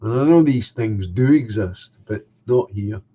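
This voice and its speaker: A man's voice: on the low side, expressive, measured pace, mellow, lo-fi sound, slight background noise. An older man with a nasal, slightly congested voice, chatting casually as a stream host and answering viewers' comments one by one. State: coughing, a bit congested. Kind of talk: casual chat.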